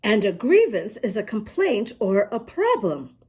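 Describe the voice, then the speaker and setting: Woman, read-aloud for little kids, unhurried pace, very lively intonation, emphatic, explanatory. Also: mid-range voice, slightly rough. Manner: slow, emphatic, sing-song explaining to children. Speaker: children's storybook reader. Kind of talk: expressive read-aloud to young children with asides.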